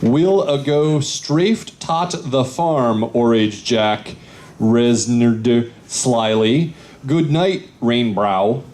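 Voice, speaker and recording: A man on a convention panel reading garbled fan fiction aloud in a drawn-out way. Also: mid-range voice, animated, darkish tone.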